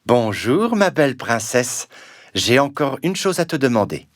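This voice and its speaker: A man's voice: medium pitch, sing-song, unhurried, no echo, studio quality. A man voicing a courteous, wheedling talking frog in a children's storybook. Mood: coaxing. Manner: courteous, coaxing. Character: polite, wheedling male talking frog, a prince under a spell. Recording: music under the voice.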